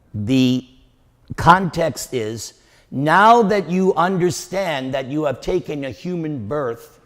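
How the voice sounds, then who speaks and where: A man with a New York accent preaches to a congregation, pressing his points home by repeating phrases and asking the audience questions. His voice sits a little high, and he speaks slowly. A long reverb trails each line, as if he is in a large hall. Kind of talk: devotional lecture to a congregation.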